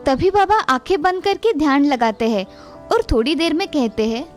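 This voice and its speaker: A woman's voice: sits high, lively intonation, moderate pace, faint music behind. A woman narrating a scripted children's fairy tale as storyteller.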